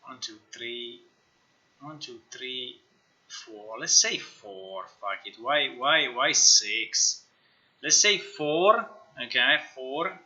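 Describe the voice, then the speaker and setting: A man with a very bright, forceful voice talks casually through his work on a live stream. He is a non-native English speaker who mutters to himself, repeats phrases and swears freely. The recording sounds somewhat dull and lo-fi. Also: mid-range voice, animated, slow pace. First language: not English. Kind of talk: casual live stream chat, thinking aloud. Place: indoors at a computer.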